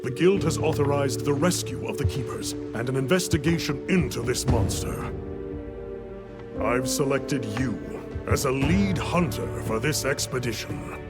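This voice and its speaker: Casting: male actor as composed authoritative commander in a game cinematic, mid-pitched, formal, deliberate delivery, over clear music. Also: natural voice. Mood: grave, measured. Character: composed, authoritative male guild superior giving orders. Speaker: guild official briefing a hunter. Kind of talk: cinematic game cutscene briefing.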